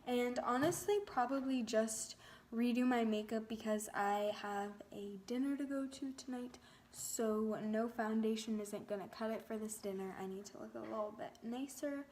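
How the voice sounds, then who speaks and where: Casting: young female beauty vlogger, soft higher voice, unhurried delivery, gushy casual chat, light background noise. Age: teens to young adult. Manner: gushing, peppered with "like". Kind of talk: casual chatty vlog to viewers.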